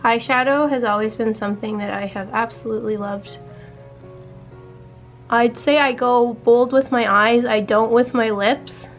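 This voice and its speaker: A young woman chatting casually while doing her makeup, her voice a little raspy, walking through each step in a relaxed way. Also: on the high side, measured pace, faint music behind. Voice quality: slightly raspy. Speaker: beauty vlogger.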